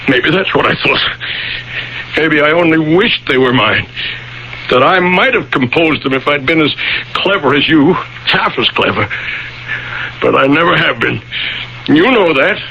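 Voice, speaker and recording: A tormented, high-pitched guilt-ridden author. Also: male voice, animated, measured pace, creaky, long pauses, sharp tone, full character voice. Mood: ashamed, confessing. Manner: agitated, repetitive, anguished phrasing. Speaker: radio drama actor. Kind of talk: old-time radio drama acting. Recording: dull, faintly noisy 1980 radio broadcast.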